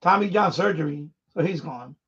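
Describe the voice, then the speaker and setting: A man with a notably high-pitched voice chats casually as a live-stream host. He talks off the cuff in a halting way, circling back to repeat words and phrases. The sound is somewhat dull and lo-fi. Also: lively intonation, a bit bright.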